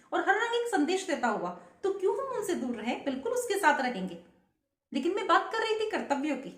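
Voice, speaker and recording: A woman's voice: very high-pitched, echoey room. A woman vlogger giving an earnest patriotic speech to camera, asking many rhetorical questions.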